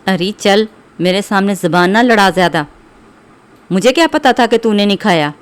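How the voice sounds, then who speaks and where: A woman playing a quarrelsome, bossy older mother-in-law, scolding sharply in an animated moral story. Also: medium pitch, normal speed, clean tone, lightly acted. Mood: irritated, nagging. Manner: sharp, nagging, commanding.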